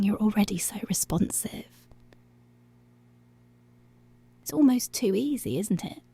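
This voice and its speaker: Policewoman, played by a woman: commanding, teasing, seductive ASMR roleplay. Whispery with creaky edges, deliberate and unhurried. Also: mid-range voice, dark tone, no room sound, heavily acted. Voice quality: breathy, whispery. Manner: slow, deliberate, controlling, drawn-out phrases.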